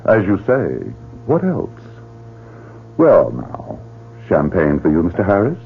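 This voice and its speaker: A man's voice: low-pitched, huge pitch swings, slow, creaky, slightly rough, exaggerated voice. An actor playing a suave, sinister male club director who speaks in a silky, mocking way, in a radio drama. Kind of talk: 1970s radio drama acting. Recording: tinny old radio broadcast with music.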